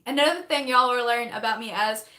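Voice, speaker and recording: Girl rambling on a phone live, bright voice going hoarse, echoey little room. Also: a little high. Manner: chatty and fast, laughs often, thanks viewers by name. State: losing her voice. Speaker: livestreaming vlogger. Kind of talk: rambling live chat while doing makeup.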